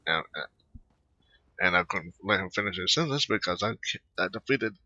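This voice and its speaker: A dry, muffled recording of a man with a low voice. He comments on a video game in a casual, halting way, and his tone is hard-edged and raspy. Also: animated, sharp tone, no echo. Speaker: gaming video host. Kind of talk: casual gameplay commentary, reading dialogue choices. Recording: dull lo-fi mic.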